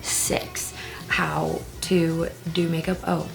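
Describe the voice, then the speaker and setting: A woman's voice: sits low, flat delivery, very slow, gravelly, music in the background, low-quality recording. A woman in her early twenties chatting casually at home while doing her makeup, self-deprecating, with whispered asides. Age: 20s. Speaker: vlogger. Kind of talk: casual get-ready-with-me chat.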